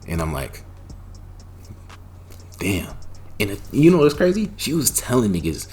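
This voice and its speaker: Deep-voiced young man telling a story. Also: a little slow. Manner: animated, profane slang-heavy retelling with loud bursts. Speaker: storytime vlogger. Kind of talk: casual storytelling.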